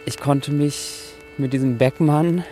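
A man's voice: medium pitch, steady tone, slow, warm tone, faint music behind. A man speaking in an earnest, reflective and literary way. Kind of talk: reflective account and solemn literary reading.

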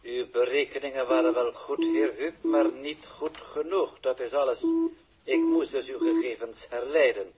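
An actor playing a cool, condescending space coordinator who speaks formally and precisely in a 1960s Dutch radio drama. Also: male voice, mid-range voice, moderate pace, a little mellow, natural voice. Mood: cool superiority. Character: cool, haughty male space coordinator, unflappable and superior. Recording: noisy, humming 1960s radio recording.

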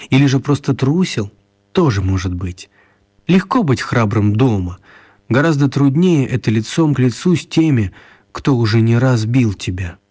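A man narrating a children's radio play as a scripted storyteller, with measured, wry phrasing. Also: medium pitch, a little mellow, breathy edge.

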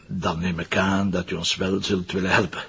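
An actor playing a wry, irreverent police commissioner with a Flemish accent and a husky voice, in a detective drama. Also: male voice, deep voice, level tone, normal speed, fairly bright tone, highs cut off, slight background noise. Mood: polite, probing. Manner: sardonic, informal, hesitant openings. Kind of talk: naturalistic detective drama dialogue.

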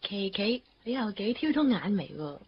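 A woman's voice: mid-range voice, sing-song, normal speed, dull audio, slight background noise, natural voice. An actress with a Hong Kong Cantonese accent playing a breezy, sociable young girlfriend in a radio drama, chatty, sprinkling in English words. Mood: mildly reproving.

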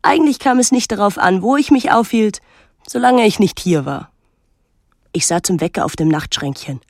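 A young actress playing a pensive, detached teenage daughter, narrating a literary radio drama in a measured, introspective way. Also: female voice, medium pitch, lively intonation, dry recording, clean studio sound. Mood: detached, wry.

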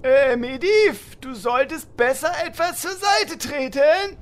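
An actor playing a bossy, pragmatic fantasy adventurer in a comedy audio drama. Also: male voice, very high voice, expressive, slow pace, full character voice. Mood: exasperated, practical.

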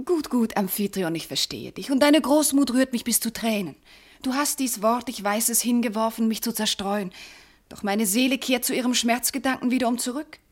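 An actress playing a devoted, anguished noble wife in a classical radio drama, declaiming formal verse with fervour. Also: female voice, a little high, normal speed, close to an everyday voice. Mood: tearful devotion. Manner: declamatory, fervent, formal diction. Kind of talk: classical German stage verse in a radio play.

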